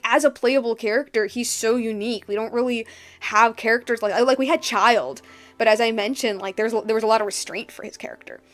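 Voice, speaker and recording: A woman giving casual, unscripted game commentary as a streamer, rambling and emphatic, often repeating her phrases. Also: fairly high pitch.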